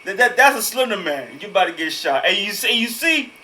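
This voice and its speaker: Bright, punchy man reacting, noisy background. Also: high voice, big pitch swings, a little fast. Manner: emphatic, repeats words for effect. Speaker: host reacting to a horror video. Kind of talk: casual reaction commentary.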